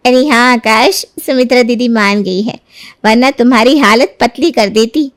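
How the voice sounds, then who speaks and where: A woman's voice: on the high side, moderate pace, no room sound, studio quality, natural voice. A woman voicing a cheeky, outspoken young woman with a teasing, bold manner in a cartoon story. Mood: playful. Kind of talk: Hindi cartoon moral story dialogue.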